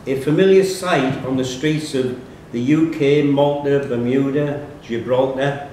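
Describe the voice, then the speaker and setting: A man's voice: steady tone, unhurried, warm tone. A man acting as quiz reader, reading questions aloud to contestants at a live pub quiz. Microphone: distant room microphone. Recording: echoey room, distant mic, faint rumbling noise.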